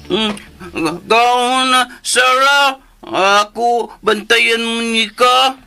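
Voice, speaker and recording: A man acting in a radio drama as a childlike, simple-minded man devoted to his doll, speaking in halting, stammering phrases. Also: very high-pitched, creaky, bright, forceful tone, faint music behind, exaggerated voice. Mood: shy, caring. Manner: slow, halting, stammering speech.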